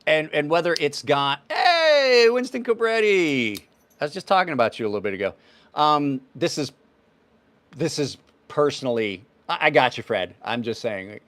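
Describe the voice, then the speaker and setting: A man's voice: fairly high pitch, sing-song, normal speed, a bit bright. A man in his fifties hosting a knife livestream, answering viewers' questions in a rambling, offhand way. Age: 50s. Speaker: knife channel host. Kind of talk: casual livestream chat.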